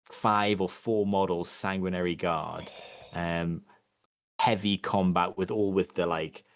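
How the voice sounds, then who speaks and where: A man co-hosting a podcast, chatting casually in a halting, rambling way with many fillers and restarts. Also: sits low, fairly even intonation, no echo. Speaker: podcast co-host. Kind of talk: casual chat.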